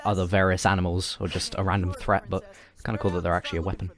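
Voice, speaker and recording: A man chatting casually as a guest co-commentator on an animated series. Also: low-pitched, racing, a crowd in the background. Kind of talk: casual commentary track chat.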